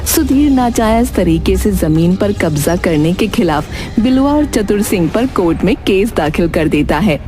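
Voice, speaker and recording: A woman tells a scripted cartoon story as narrator. Her delivery is very animated in pitch, and music plays clearly behind her. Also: a little slow, few pauses.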